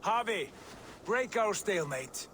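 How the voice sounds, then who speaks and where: An actor playing an urgent, earnest warrior of the gods in a video game cutscene. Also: male voice, sits high, lively intonation, unhurried, a bit bright, slight background noise.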